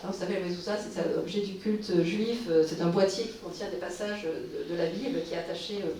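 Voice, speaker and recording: A woman's voice: on the low side, fairly even intonation, fast, mellow, distant mic, slight background noise. A woman giving a public lecture, speaking hesitantly with many fillers and restarts. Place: conference hall. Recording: roomy hall recorded from afar.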